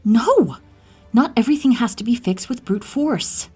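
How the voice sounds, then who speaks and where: A woman acts out an ageless moon spirit who works as a healer in a fantasy audio drama. She is motherly and brisk, caring yet quick to scold. Her voice is mellow and very breathy, often hushed. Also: on the high side, animated, faint music behind. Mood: urgent, scolding. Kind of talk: fantasy audio roleplay acting.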